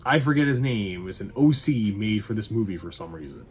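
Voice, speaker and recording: A man's voice: fairly low pitch, animated, fairly quick, husky. A man doing a casual, opinionated review voice-over in a blunt, sweary way with wry asides. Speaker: video essay host reviewing movies. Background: background music.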